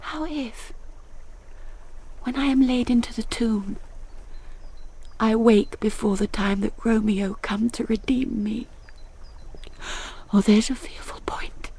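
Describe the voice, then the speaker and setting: A woman's voice: fairly high pitch, slow pace, long pauses, warm tone, husky, heavily acted. A British actress playing a frightened young noblewoman in a Shakespearean radio drama, in a dramatic soliloquy that slips into whispers. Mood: dread. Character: frightened, lovelorn young noblewoman steeling herself.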